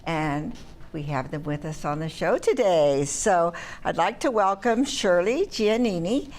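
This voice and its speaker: A woman's voice: lowish voice, animated, a little slow, darkish tone. A woman hosting a talk show, introducing guests and interviewing them in a friendly way. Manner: friendly, with short listening sounds.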